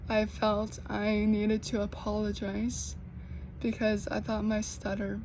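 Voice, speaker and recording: A young American woman who stutters, with repeated sounds and blocks, talking slowly and earnestly in a personal vlog. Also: medium pitch, completely flat delivery, slight background noise. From United States. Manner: slow, earnest, gently encouraging. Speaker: vlogger.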